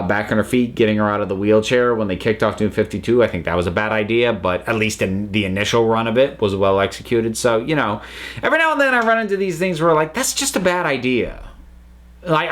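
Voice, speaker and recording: A man's voice: lowish voice, a bit bright. An American man hosting his own channel, giving a casual, rambling spoken review with frequent restarts and self-corrections. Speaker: channel host reviewing audio dramas.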